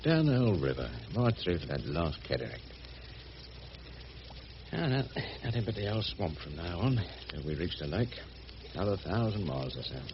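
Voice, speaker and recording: An actor playing a rough, good-hearted, middle-aged working man with a working-class English accent and colloquial speech in a radio drama. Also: deep voice, sing-song, moderate pace. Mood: tender, chatty. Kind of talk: BBC radio drama acting. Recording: dull, noisy old radio recording.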